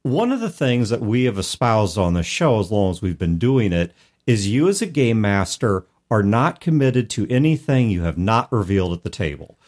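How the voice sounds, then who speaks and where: A man hosting a talk show about tabletop gaming, explaining things in an articulate, conversational way with measured, emphatic phrasing. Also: lowish voice, very animated, darkish tone. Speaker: podcast host. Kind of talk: conversational advice on tabletop game mastering.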